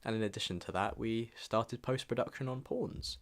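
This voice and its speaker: A man's voice: mid-range voice, moderate pace. A young man reading a scripted voice-over that looks back on his own year, in the manner of a personal vlog. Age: young adult. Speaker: vlogger narrating own year in review. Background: background music.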